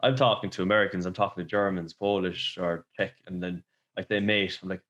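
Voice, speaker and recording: An Irish man from Limerick, a podcast guest telling stories in casual chat, talking fast and laughing often. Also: low voice, fairly even intonation, a bit bright, muffled recording. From Ireland. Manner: chatty, laughs often, fast storytelling with quoted asides.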